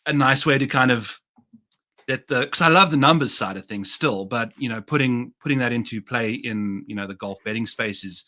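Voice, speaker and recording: A man's voice: mid-range voice, moderate pace, sharp tone. A man with a South African accent, a guest on a golf betting podcast, chatting casually with breezy, upbeat slang.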